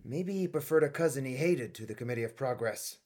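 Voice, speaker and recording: A man acts a middle-aged army colonel in a radio play, authoritative yet conflicted. His voice is notably husky. He delivers his words with weight and emphasis, as head of the household. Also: medium pitch, lively intonation, a little fast, darkish tone, natural voice. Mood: tense, weary. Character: Colonel Kazim, authoritative, conflicted Turkish husband and father.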